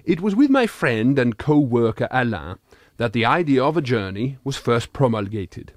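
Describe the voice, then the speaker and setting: A man's voice: medium pitch, sing-song, normal speed, no room sound, clean studio sound, close to an everyday voice. A man voicing an earnest, pompous thirty-eight-year-old Frenchman with a French accent, reading a comic audiobook diary in formal, quaintly wordy phrases. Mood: earnest, self-important.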